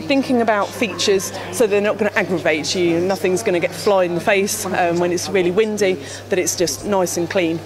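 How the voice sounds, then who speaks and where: Low-voiced woman at a busy trade show. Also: a little fast, few pauses, low-quality recording. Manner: explanatory, many fillers and restarts. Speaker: company representative. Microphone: handheld mic held by interviewer. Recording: crowd chatter behind.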